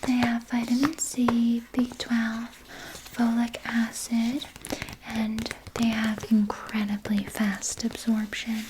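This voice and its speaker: A woman voicing a sly, doting vampire nurse in a breathy, soothing whisper for an ASMR roleplay. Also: on the high side, completely flat delivery, background noise. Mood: soothing, caring. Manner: slow, soothing, coaxing, softly articulated. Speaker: ASMR performer.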